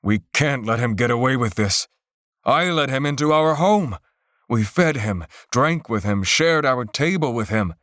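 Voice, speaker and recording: A man's voice: medium pitch, lively intonation, measured pace, fairly bright tone, dry recording, clean studio sound, pitched above the performer's own voice. A man doing a burly, heated blacksmith. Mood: angry. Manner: heated, accusing. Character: burly male blacksmith, angry and fearful.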